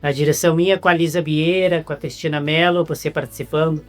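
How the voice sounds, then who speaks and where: A woman's voice: low-pitched, steady tone, fast, soft background music. A woman with a Brazilian accent doing a casual, chatty interview, often repeating words as she searches for the phrase.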